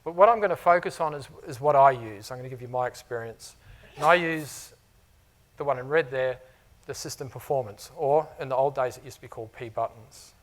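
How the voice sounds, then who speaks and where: A man's voice: medium pitch, a little fast. A middle-aged man with a New Zealand accent mixed with Australian, giving a conversational conference talk to customers, with many "you know"s and "uh"s. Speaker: technology architect presenting to customers. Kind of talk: conference presentation. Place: conference room before a live audience.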